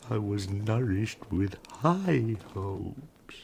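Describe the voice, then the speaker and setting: An actor playing a tormented, man-made creature in an audiobook drama, menacing and mournful, gravelly and breaking into harsh whispers. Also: lowish voice, animated, drawn-out words, a little mellow, slight background noise, full character voice. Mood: anguished. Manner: grave, anguished declaiming.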